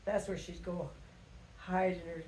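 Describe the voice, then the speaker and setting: An older woman, a grandmother, casually telling family stories in a rambling, folksy way with halting phrasing. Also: fairly low pitch, steady tone, darkish tone. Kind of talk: casual storytelling reminiscence. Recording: roomy echo with faint background noise.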